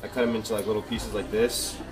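A young man talks through his cooking in a relaxed, rambling way, full of likes and ums. His voice sits low. A small kitchen gives it an echoey ring off the walls. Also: fast talker, music in the background. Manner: laid-back, filler-heavy talk with many likes and ums. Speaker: fitness vlogger. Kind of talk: casual vlog chat. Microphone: camera mic at arm's length.

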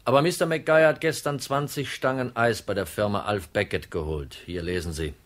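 An actor playing a cool, shrewd insurance detective in a radio crime drama, matter-of-fact and in control. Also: male voice, fairly low pitch, normal speed, a bit bright, lightly acted. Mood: calm, explaining.

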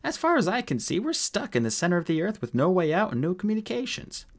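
A man voicing a tough female pilot captain in an audiobook, brisk, sarcastic and commanding. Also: mid-range voice, animated, pitched above the performer's own voice, lightly acted. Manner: snappy, commanding.

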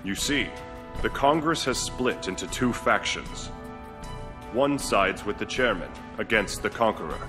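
A man acting a grave, loyal beast warrior advisor in a game cutscene, formal and measured. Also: lowish voice, expressive. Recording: hall reverb, music behind.

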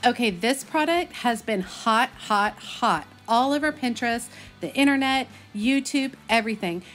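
Chatty Texan woman, higher-pitched voice. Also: expressive, moderate pace, fairly bright tone, faint music behind. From Texas, United States. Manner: chatty, enthusiastic, folksy. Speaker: host of a gardening video channel. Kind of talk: casual vlog narration.

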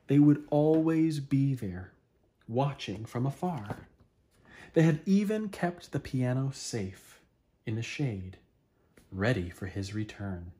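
A man in his thirties reading a children's picture book aloud expressively, with dramatic, deliberate pauses. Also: mid-range voice, very animated, mellow. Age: 30s. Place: small living room at home, indoors.

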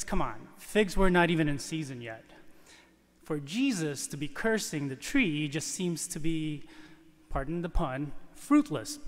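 A man in his forties, a priest reading a homily in a measured, deliberate manner at a lectern microphone in a large church. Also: fairly high pitch. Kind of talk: scripted homily read from the pulpit. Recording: long church reverb.

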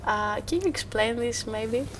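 A young woman, a student interviewer, asking a question softly and tentatively in a hotel lounge. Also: on the high side, expressive, measured pace, a little hiss or hum. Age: young adult.

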